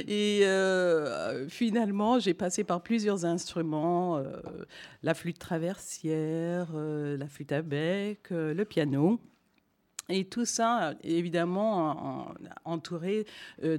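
Middle-aged woman with English as her first language, low mellow voice, halting unscripted delivery. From Canada. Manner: hesitant, many fillers and restarts. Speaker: guest singer and voice teacher. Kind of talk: spontaneous talk to an audience.

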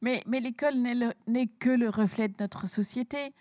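A woman's voice: a little high, normal speed, a little mellow, no echo, clean studio sound. A woman author from Belgium, talking emphatically about her book in an interview and repeating words as she starts her sentences.

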